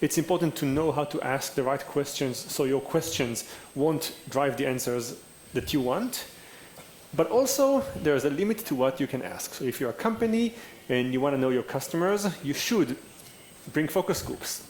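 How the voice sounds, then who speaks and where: A man's voice: medium pitch, a little mellow. A man, a neuroscientist on a conference panel, explaining his ideas in a fluent, semi-casual way. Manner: fluent, explanatory, builds points in lists. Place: conference hall. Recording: echoey hall with faint background noise.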